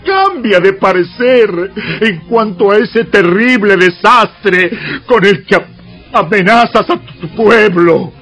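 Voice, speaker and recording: An actor playing Moses as an anguished, pleading, indignant prophet who stammers, in a dramatized audio Bible. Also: male voice, sits high, animated, a bit bright, faint music behind, heavily acted. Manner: halting, stammering.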